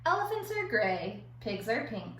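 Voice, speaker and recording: A woman schoolteacher reading aloud to young children and explaining an activity in simple, clear phrases. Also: a little high, big pitch swings, a little slow, fairly bright tone. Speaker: elementary school teacher. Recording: echoey room with faint background noise.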